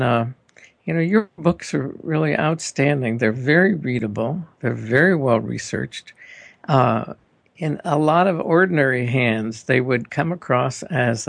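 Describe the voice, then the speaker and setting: Gravelly man hosting a radio interview. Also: medium pitch, no echo. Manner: rambling, hesitant, many fillers and restarts. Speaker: radio show host. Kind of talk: interview questions.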